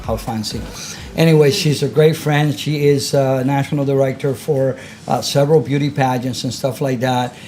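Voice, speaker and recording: A man's voice: medium pitch, steady tone, normal speed, darkish tone, soft background music. A man hosting an interview and introducing his guest in a casual, friendly way. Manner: friendly, casual, many fillers. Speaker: host.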